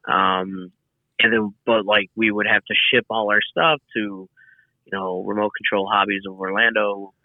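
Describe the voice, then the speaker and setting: A man as a podcast guest, chatting casually in a relaxed, rambling way with many fillers. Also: lowish voice, measured pace, no room sound, narrow, tinny audio. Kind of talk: casual chat.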